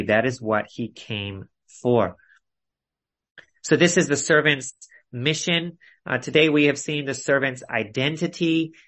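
A male preacher teaches in an expository sermon style. His voice sits at an average pitch for a man. He sets out his points deliberately, in short phrases with clear emphasis on key words. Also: a bit bright. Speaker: preacher leading a Bible study. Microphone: video call.

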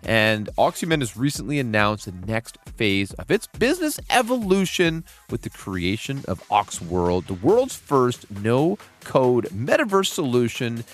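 A middle-aged man hosting a podcast interview, gushing with praise for his guest. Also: mid-range voice. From Canada. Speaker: podcast host. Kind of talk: casual interview.

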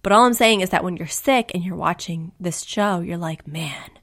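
A woman co-hosting a relaxed podcast at home, chatting and laughing often. She pokes fun at herself, and her voice is only just back after the flu. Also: fairly low pitch, normal speed. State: recovering from flu, voice recently lost. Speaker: podcast co-host. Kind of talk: casual chat.

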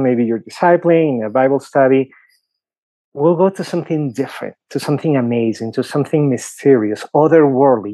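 Peruvian-accented priest, dry close sound. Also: male voice, mid-range voice, mellow, no room sound, poor audio. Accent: Peruvian Spanish. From Peru. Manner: thoughtful, explains with examples, frequent fillers. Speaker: priest guest. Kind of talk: podcast interview answer.

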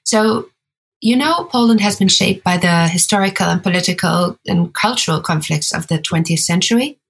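A woman co-hosting a podcast, speaking in a measured, explanatory way. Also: lowish voice, expressive, gravelly. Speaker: podcast co-host. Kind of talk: scripted podcast narration.

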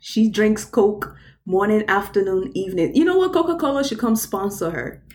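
A woman's voice: mid-range voice, expressive, normal speed, darkish tone. A Nigerian woman co-hosting a casual chat, reading out history facts playfully and laughing at her own stumbles. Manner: playful, stumbles over words, laughs easily. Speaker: co-host.

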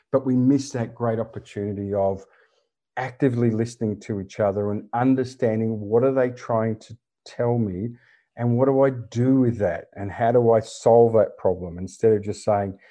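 A man's voice: lowish voice, mellow. A man who is a podcast guest and consultant, talking earnestly and emphatically in a conversational interview. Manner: earnest and emphatic, with repeated phrases. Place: living in Germany.

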